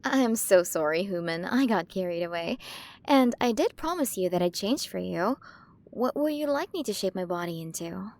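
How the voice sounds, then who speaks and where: A woman's voice: mid-range voice, expressive, normal speed, a little mellow, no room sound, natural voice. A woman voicing a playful, curious alien queen of a galaxy in an ASMR roleplay, speaking to the listener in a fascinated, coaxing way. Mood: gentle, eager.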